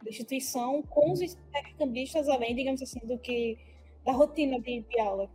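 A young woman, co-hosting a casual podcast chat with quick interjections and comments. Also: high-pitched, dark tone, soft background music. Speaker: co-host.

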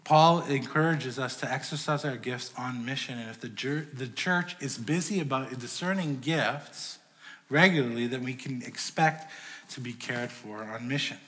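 A man's voice: mid-range voice, moderate pace, a bit bright. A man preaching a sermon to his church in a conversational way, rising and dropping in volume. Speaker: pastor. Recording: long hall reverb.

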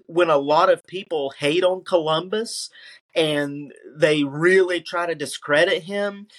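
A man's voice: fairly high pitch, steady tone, a little slow, fairly bright tone. A man who is a guest on a podcast, speaking emphatically and often restarting his sentences with "you know". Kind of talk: casual conversational interview.

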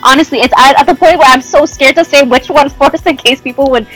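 A Canadian woman talking in a relaxed podcast chat. She speaks with feeling and often stops and restarts mid-sentence as she searches for the right words. Faint music sits low behind her voice. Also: fast talker, rough recording. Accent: Canadian English. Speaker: guest. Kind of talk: casual podcast conversation.

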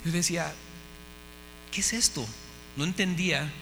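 A man's voice: a little high, measured pace, gravelly, fairly bright tone, big-room sound, soft background music, low-quality recording. A man preaching a testimony sermon, impassioned and often asking his listeners questions. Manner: impassioned, asks the audience questions. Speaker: missionary preacher.

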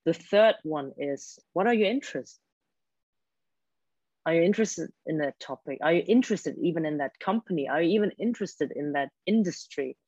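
A woman's voice: low-pitched, animated, normal speed, a little mellow, slightly rough, dry recording. German-native woman coaching on careers. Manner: earnest, repeats words when restarting. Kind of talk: interview guest explaining advice. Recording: dull, dry lo-fi sound.

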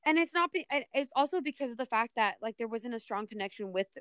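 A woman's voice: a little high, expressive, fast talker, a bit bright, dry recording, clean studio sound. A young woman co-hosting a podcast in casual chat, talking in a hesitant, rambling way peppered with "like". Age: young adult. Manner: hesitant, rambling, heavy use of "like". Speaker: podcast co-host. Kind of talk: casual panel chat about TV.

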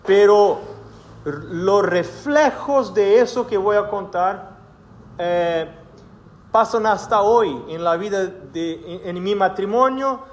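A middle-aged man from Brazil preaching a sermon in a church, with a Brazilian Portuguese accent and a measured manner broken by hesitant fillers. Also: high-pitched. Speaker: pastor.

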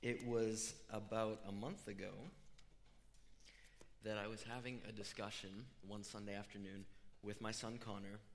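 A middle-aged man preaching a conversational sermon, informal and explanatory, with a voice a little rough from being unwell. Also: on the low side, flat delivery, moderate pace. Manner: informal, storytelling, explaining step by step. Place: large room, amplified before a congregation. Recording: reverberant hall.

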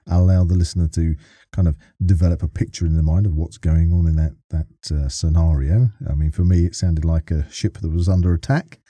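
A man's voice: low-pitched, fairly even intonation, fairly quick, hardly any pauses, dark tone, husky, no echo. A man hosting a podcast in casual interview style, with hesitant, rambling phrasing. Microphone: close studio microphone.

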